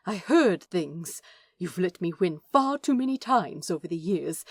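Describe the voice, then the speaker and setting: A woman's voice: medium pitch, dark tone, husky, no echo, clean studio sound, full character voice. A woman voicing a fussy, self-righteous male angel in a story reading, with a huffy, insistent, scoffing manner. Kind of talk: dramatic fan fiction reading.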